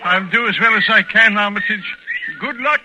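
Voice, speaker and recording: An earnest learned man played by a male radio actor reporting with formal, tense dread. His voice is extremely high for a man, in vintage radio style, with clear noise on the recording. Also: normal speed, lo-fi sound, natural voice. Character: earnest, frightened male scholar reporting a monster. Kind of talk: 1940s radio acting, live eyewitness report.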